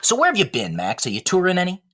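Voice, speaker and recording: A man playing a philosophical, wistful ventriloquist dummy with a husky voice and a plaintive, musing way of talking, in a comic audio play. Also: sits high, wildly swooping pitch, quick pace, a bit bright, exaggerated voice. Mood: wry, glum.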